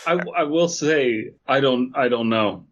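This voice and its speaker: A man's voice: medium pitch, measured pace, dull audio. A man co-hosting a podcast with casual, wry and crude joking banter. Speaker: podcast co-host.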